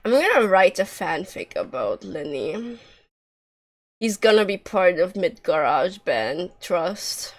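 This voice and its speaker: A woman's voice: on the low side, sing-song, slow. A woman giving casual, joking and sarcastic livestream commentary as she plays a video game.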